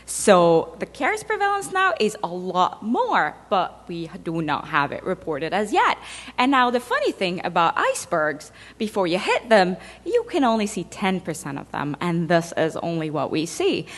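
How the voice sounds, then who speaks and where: A woman's voice: lowish voice, huge pitch swings, unhurried. A woman from Egypt, a dentist giving a conference talk, speaking conversationally with fillers and playful asides. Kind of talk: conference presentation. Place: large conference hall. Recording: reverberant lecture hall.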